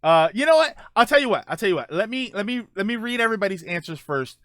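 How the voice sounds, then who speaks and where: A man's voice: high-pitched, expressive, fast talker, a bit bright, no echo, studio quality. A man hosting a casual live stream, thinking aloud while reading viewer comments, often restarting his sentences. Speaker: streamer host reading chat.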